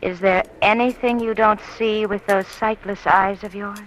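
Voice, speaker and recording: A woman's voice: medium pitch, steady tone, moderate pace, slight background noise, lightly acted, poor audio. An actress playing an anxious, secretive young woman in love, who talks in a breathless, stammering way in 1940s radio acting style. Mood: defensive.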